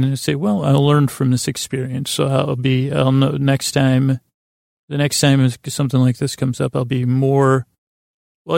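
A man hosting a sleep podcast in a creaky, tired voice, rambling haltingly through tangents and often interrupting himself. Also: medium pitch, a little mellow, no echo, studio quality. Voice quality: creaky, croaky voice. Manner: meandering, halting, self-interrupting, trailing off. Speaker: podcast host.

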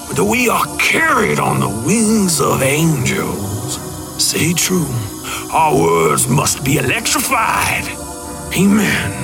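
Bombastic, wildly swooping revival preacher. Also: male voice, on the high side, gravelly, exaggerated voice. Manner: bombastic, drawn-out, chanting exhortation. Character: fervent male revival preacher spreading his gospel. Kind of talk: theatrical sermon parody promo.

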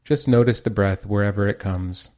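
A man leading a guided meditation with calm, measured instructions and pauses between phrases. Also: fairly low pitch.

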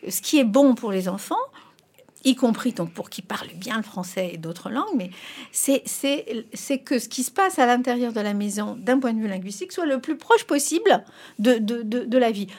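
A middle-aged woman whose first language is Spanish, answering as an expert guest in an interview. She speaks emphatically, and her pitch jumps up on key points. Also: lively intonation, moderate pace, a little mellow.

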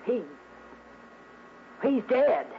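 A woman's voice: on the high side, big pitch swings, very slow, vocal fry, heavily acted. A woman acting in a 1960s radio drama as a brash, suspicious rooming-house manager, brusque, slangy and nosy. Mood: shocked. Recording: muffled, noisy old radio broadcast.

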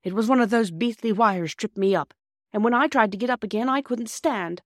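A woman's voice: on the high side, a little fast, no room sound, studio quality, voice pushed up, close to an everyday voice. A woman voicing a plucky, indignant injured schoolboy in a storybook, with a blunt, slangy manner.

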